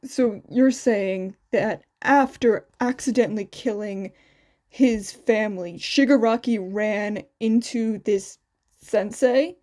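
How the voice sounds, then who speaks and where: A woman's voice: mid-range voice, slow, darkish tone, lightly acted. A woman voicing a serious, skeptical adult male investigator in a fan fiction audio story.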